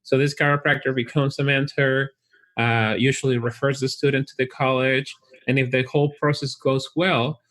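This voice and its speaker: A man with a Chilean Spanish accent, a college recruitment director, talking in a friendly, relaxed way with frequent restarts in a casual interview. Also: mid-range voice, moderate pace. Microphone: video call.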